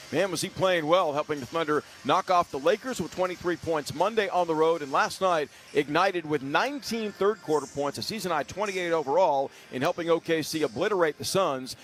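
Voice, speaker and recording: A man hosting sports radio, delivering fluent, formal announcer commentary and wordy questions. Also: on the high side. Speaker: sports radio host and interviewer.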